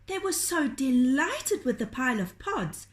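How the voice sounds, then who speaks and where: A woman reading a children's story aloud in a gentle, deliberate, expressive storytelling manner. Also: sits high. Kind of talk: children's storytelling, scripted narration.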